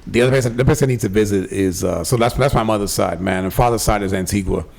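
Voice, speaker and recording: A man's voice: lowish voice, fast talker, creaky, a little mellow. A man co-hosting a podcast in casual chat, with an emphatic, slangy, profane way of talking. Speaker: podcast co-host.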